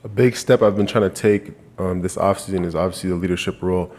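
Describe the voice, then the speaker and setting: Young athlete from Canada, deep and even delivery, earnest, restarts lines as he thinks. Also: quick pace. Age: 20s. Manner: earnest, repeats and restarts phrases. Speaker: college football player. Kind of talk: press conference answers. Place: media day press room.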